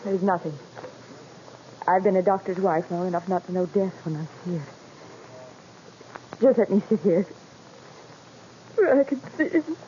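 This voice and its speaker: An actress playing an anxious, devoted doctor's wife in a 1940s radio mystery. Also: female voice, medium pitch, moderate pace, pauses often, warm tone, close to an everyday voice. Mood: shaken. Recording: muffled, noisy 1940s radio recording.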